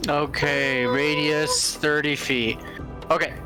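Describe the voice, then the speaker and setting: Higher-pitched man at a game table, music behind. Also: flat delivery, slow. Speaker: player in a tabletop roleplaying game. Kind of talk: casual game-table chat. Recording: music playing over the voice.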